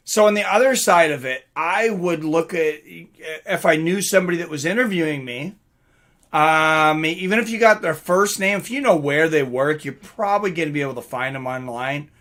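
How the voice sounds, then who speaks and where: A man's voice: on the high side, a bit bright. A middle-aged man hosting a live stream, chatting casually with viewers and commenting on fights in a rambling way. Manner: rambling, reading out and answering chat comments. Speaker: livestream host and fight commentator.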